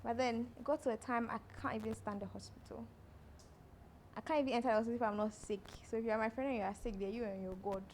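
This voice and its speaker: A woman's voice: on the high side, quick pace, a little hiss or hum. A young Ghanaian woman, an actress, chatting in an interview and telling stories with laughter. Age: young adult. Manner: laughing, animated storyteller. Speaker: actress guest. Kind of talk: casual interview chat.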